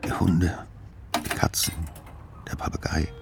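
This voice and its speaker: An actor playing a brooding, weary intellectual in a radio play's inner monologue, halting in his delivery. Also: in a whisper, close to an everyday voice. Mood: bitter, weary. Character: Philipp, brooding, weary, dizzy male intellectual observer.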